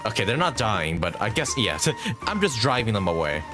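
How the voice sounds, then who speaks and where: A man's voice: on the low side, sing-song, normal speed, music in the background. A man doing casual live commentary as a game streamer, thinking aloud as he plays and swearing casually.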